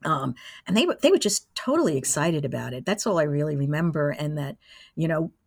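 Interview guest: older female, low-pitched, relaxed conversational storytelling, very little room sound. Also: brisk, no room sound, studio quality. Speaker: interview guest, former college radio engineer. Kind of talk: casual reminiscing.

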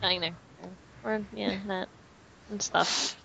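A woman's voice: medium pitch, fairly even intonation, slow pace. A woman taking part in a fan podcast as a panelist, chatting casually over a dull, noisy lo-fi link. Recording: dull lo-fi voice link, clear noise.

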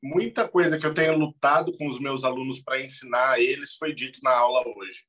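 A man's voice: mid-range voice, brisk, a bit bright. A Brazilian man, a teacher on a panel, explaining things casually and earnestly in an appreciative way. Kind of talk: casual closing remarks, explaining.

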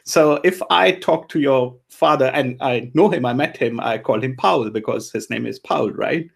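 A man's voice: mid-range voice, moderate pace, vocal fry, husky. A man from India co-hosting a podcast in casual remote chat, thoughtful and hesitant, with many fillers. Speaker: podcast co-host. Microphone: remote recording setup.

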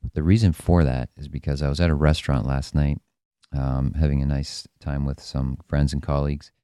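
Deep hoarse guy doing his podcast, flat monotone, smooth clear sound. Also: a little fast, no room sound, clean studio sound. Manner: loose, with many fillers and restarts. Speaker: podcast host. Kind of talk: solo podcast talk.

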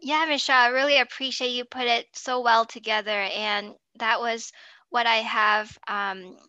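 Bright, crisp-voiced woman guest, hesitant. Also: a little high, fairly even intonation, moderate pace, no echo, dull audio. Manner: hesitant, many fillers, warm and grateful. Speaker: podcast guest. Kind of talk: conversational interview.

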